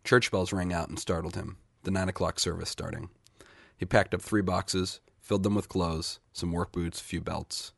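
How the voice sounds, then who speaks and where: A man's voice: sits low, level tone, moderate pace, gravelly, fairly bright tone, husky, no echo, clean studio sound. A man reading literary short fiction aloud as a scripted narration. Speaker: short story reader.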